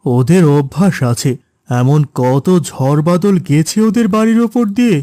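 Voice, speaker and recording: Casting: man to play gentle middle-aged cartoon dad, warm relaxed tone, measured and reassuring. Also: a little high, no echo, studio quality. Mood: dismissive calm. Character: gentle, patient, reassuring middle-aged village-born father. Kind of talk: cartoon voice acting.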